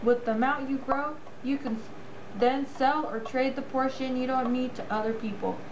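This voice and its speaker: A woman's voice: high-pitched, steady tone, normal speed, roomy sound, muffled recording, noisy background, natural voice. A woman playing an instructive farmer puppet in a scripted educational show. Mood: explanatory. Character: explanatory farmer puppet, addressed as Mister.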